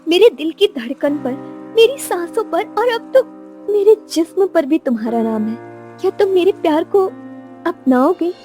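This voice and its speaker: A woman's voice: very high voice, animated, normal speed, mellow, faint music behind. A woman voicing an earnest, lovestruck young woman in an audio romance drama, tender and emotional. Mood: loving, hopeful.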